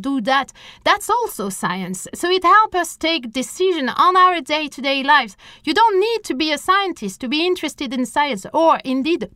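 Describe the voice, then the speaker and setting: A woman speaks. Her voice is bright and fairly high, and she explains things emphatically, piling up lists. The sound is clean and dry. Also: no room sound. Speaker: interview guest, scientist and science outreach organiser. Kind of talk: enthusiastic interview explanation.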